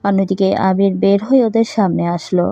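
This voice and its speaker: A woman's voice: medium pitch, fairly even intonation, fairly quick, mellow, no echo, dull audio. A woman narrating a scripted audio story.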